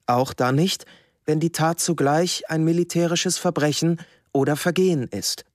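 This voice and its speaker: An actor playing a detached wartime official, formally reading out orders and reports in a radio drama. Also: male voice, on the high side, normal speed, no echo, clean studio sound, lightly acted. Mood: officious, detached. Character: bureaucratic Nazi official stating orders and reports.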